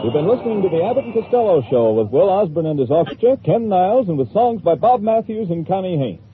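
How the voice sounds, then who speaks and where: High-pitched vintage radio announcer man. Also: big pitch swings, fast talker. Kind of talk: scripted 1940s radio announcing. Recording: tinny, hissy 1940s radio broadcast.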